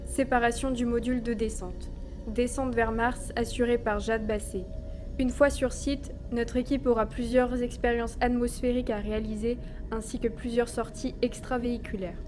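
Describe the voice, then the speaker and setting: A young woman playing a formal spaceship commander, reciting a mission log in measured, official sentences for a sci-fi audio drama. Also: a little high, steady tone, close to an everyday voice. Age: young adult. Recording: clearly noisy, ship ambience behind.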